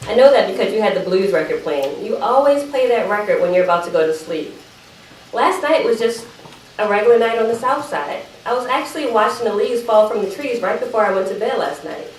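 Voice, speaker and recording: A woman's voice: mid-range voice, animated, brisk, close to an everyday voice. A woman performing a dramatic monologue as an evasive teenage sister, chatty and apologetic as she pleads her case to her mother. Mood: pleading, evasive. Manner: defensive, apologetic, chatty digressions. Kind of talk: dramatic monologue read aloud at a live event. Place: live event room, recorded from a distance. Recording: roomy, distant microphone, faint background noise.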